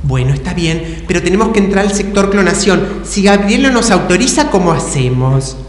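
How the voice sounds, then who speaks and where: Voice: medium pitch, expressive, moderate pace. A performer with a Rioplatense accent narrating improvised stage comedy, teasing and emphatic while posing choices. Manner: teasing, emphatic, repeats prompts. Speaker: improv game narrator and player. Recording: echoey hall, clear background noise.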